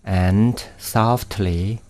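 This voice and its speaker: A Buddhist meditation guide, his voice sitting fairly low. He speaks softly and gently, leaving long pauses between short phrases. He moves at an unhurried, very slow pace. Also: steady tone. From Thailand. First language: Thai.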